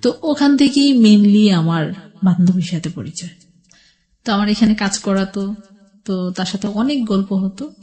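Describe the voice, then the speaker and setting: A woman's voice: mid-range voice, normal speed, warm tone. A middle-aged woman with a Bangladeshi accent telling her life story as a radio interview guest, conversational, repeating and rephrasing as she goes.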